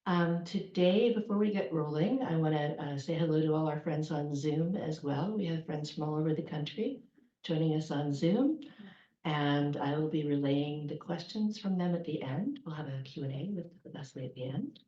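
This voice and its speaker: A woman's voice: deep voice, normal speed, warm tone. A woman welcoming people to a public event as its host, chatting informally through announcements with joking asides. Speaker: library event host and outreach coordinator. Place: indoors in a library event room. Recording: roomy, slightly muffled live room recording.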